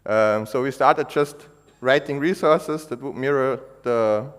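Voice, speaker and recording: Man speaking in an echoey hall. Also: medium pitch. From Austria. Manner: halting, many fillers and restarts. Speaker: conference speaker. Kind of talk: technical conference talk. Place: conference hall. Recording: echoey hall, long reverb.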